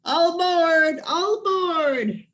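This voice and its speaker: A woman playing a tiny female train conductor who projects drawn-out announcements in a storybook reading. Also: extremely high pitch, a bit bright, pitched above the performer's own voice, full character voice. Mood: loud, announcing.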